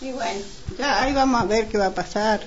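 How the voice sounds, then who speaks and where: Una mujer con acento argentino interpreta a una vecina hospitalaria en un radioteatro comunitario, con charla informal. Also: female voice, fairly high pitch, fairly quick, natural voice. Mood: conversational. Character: hospitable neighbour woman hosting mate at home. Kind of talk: amateur community radio play, casual chat. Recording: muffled, noisy amateur recording.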